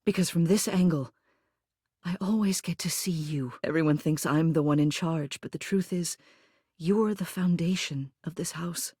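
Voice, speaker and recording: A woman's voice: low-pitched, normal speed, warm tone, husky, no room sound, studio quality. A woman playing a haughty, formal mayor who is secretly tender, in scripted video game dialogue. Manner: prim, formal, officious, clipped commands.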